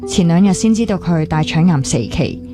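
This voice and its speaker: An actress plays a caring, troubled young hospital worker in a radio drama. Her voice sits on the low side, with a Hong Kong Cantonese accent and English words dropped in. She talks in an earnest, natural way over clearly audible music. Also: brisk, lightly acted. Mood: reflective, concerned. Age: young adult.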